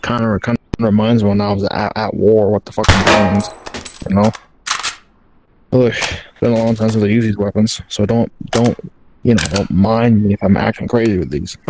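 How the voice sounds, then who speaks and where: A man playing a gruff, chain-smoking off-duty villain with a deep, rough smoker's voice, rambling and swearing casually in a game roleplay. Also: fairly even intonation, moderate pace, noisy background, lightly acted. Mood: laid-back.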